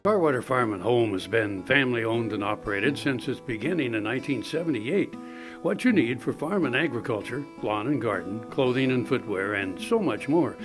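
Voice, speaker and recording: American male ad announcer over guitar music. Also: medium pitch. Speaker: commercial announcer. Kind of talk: scripted ad narration.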